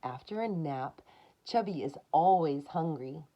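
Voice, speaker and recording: A woman's voice: low-pitched, lively intonation, a little slow, warm tone, dull audio. A woman reading a picture book aloud to children, expressively, with short asides of her own.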